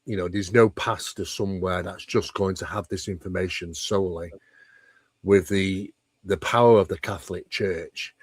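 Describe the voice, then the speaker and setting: Low-voiced man explaining emphatically, dry recording. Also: fairly even intonation, moderate pace, slightly rough, no room sound. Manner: emphatic, discursive, poses rhetorical questions. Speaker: interview guest, paranormal researcher. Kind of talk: conversational explanation of paranormal topics.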